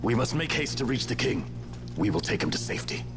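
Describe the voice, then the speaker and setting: A man's voice: mid-range voice, sing-song, brisk, close to an everyday voice. A man voicing a steadfast grown knight in a video game cutscene, speaking firmly and formally. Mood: firm, urgent. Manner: firm, formal, urgent. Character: stern grown male knight, steadfast and commanding. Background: game music.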